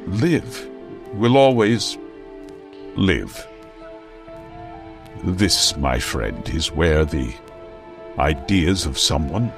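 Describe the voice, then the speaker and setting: Melodramatic old radio crimefighter, slow grand delivery, pitch swings around, music under him. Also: male voice, heavily acted. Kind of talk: 1940s radio serial acting.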